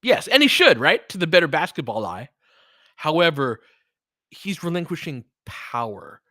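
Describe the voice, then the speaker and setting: Male fan commentator for basketball livestream: bright, forward voice at an average pitch, dry close sound, informal and opinionated. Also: very animated, moderate pace, no echo. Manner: informal and opinionated, clipped phrases during play, talking back to listeners. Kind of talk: casual fan play-by-play commentary mixed with chat.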